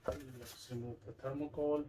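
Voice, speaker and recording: A man's voice: medium pitch, level tone, a little slow, dark tone. A man with a mid-range voice presents a product unboxing as a review host. He explains each item step by step in short, simple phrases. The recording has some noise behind his voice. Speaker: tech review channel host.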